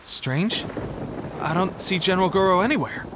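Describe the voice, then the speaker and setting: An actor playing an eager, anxious young resistance soldier in a video game, speaking earnestly and urgently. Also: male voice, sits high, lively intonation, moderate pace, background noise. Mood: puzzled. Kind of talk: dramatic video game voice acting.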